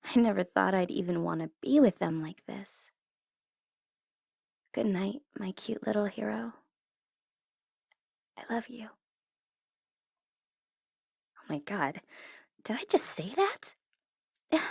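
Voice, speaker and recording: A woman's voice: mid-range voice, lively intonation, unhurried, vocal fry, long pauses, a little mellow, slightly rough, no room sound, studio quality, heavily acted. A woman playing a smug, secretly tender female supervillain mastermind in an intimate, theatrical ASMR roleplay, teasing and easily flustered. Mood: musing, fond, then flustered, exasperated.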